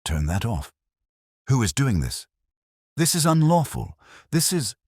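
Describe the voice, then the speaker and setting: A man's voice: medium pitch, sing-song, measured pace, gravelly, husky, no room sound, studio quality, pitched above the performer's own voice, exaggerated voice. A man voicing a pompous, flustered town councillor in a mystery story, snapping with indignation. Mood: outraged.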